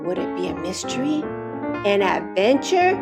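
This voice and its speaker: A woman reading a children's story aloud expressively, with slow, deliberate, dramatic emphasis on key words. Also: medium pitch, big pitch swings, gravelly, music in the background. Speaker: storytime reader.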